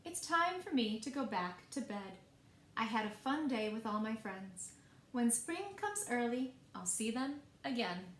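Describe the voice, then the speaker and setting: Storybook groundhog played by a woman: homebody, cheerful, simple expressive talk, lively pitch, echoey room. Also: a little slow. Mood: content. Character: cheerful homebody male groundhog, childlike and cozy. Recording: echoey room, faint background noise.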